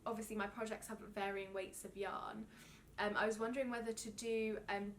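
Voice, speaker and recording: A woman's voice: mid-range voice, little pitch movement, brisk, a little hiss or hum. Stuffy-nosed young woman, rambling and gushing. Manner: chatty and rambling, many ums, gushing. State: stuffy nose from a cold. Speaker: knitting podcast host. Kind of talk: casual chat to camera.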